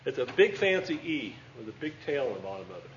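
A man's voice: medium pitch, big pitch swings, fast, muffled recording, a little hiss or hum. A man teaching a class at a whiteboard, chatty and joking, checking in with his students with a frequent "okay?".

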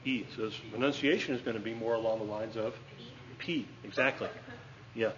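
A man's voice: lowish voice, brisk, lo-fi sound, crowd noise. A man teaching a class at a whiteboard, chatty and joking, checking in with his students with a frequent "okay?".

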